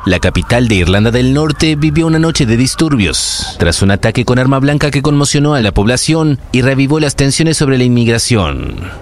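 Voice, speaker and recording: A man's voice: medium pitch, very animated, moderate pace, hardly any pauses, a little hiss or hum. A man reading scripted international news narration and translated voice-over.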